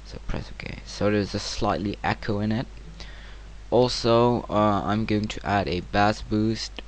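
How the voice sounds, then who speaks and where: A man narrating a casual software tutorial in hesitant, informal, non-native-sounding English. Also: on the low side, unhurried. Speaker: tutorial presenter. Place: home computer screen-recording voice-over. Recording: clear steady background noise.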